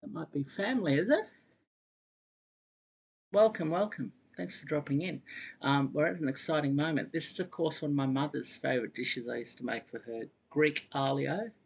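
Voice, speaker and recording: A man's voice: on the high side, moderate pace, vocal fry, slightly rough, rough recording. A man chatting casually to his viewers while he cooks on a live stream. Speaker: cooking livestreamer. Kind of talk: casual live cooking chat.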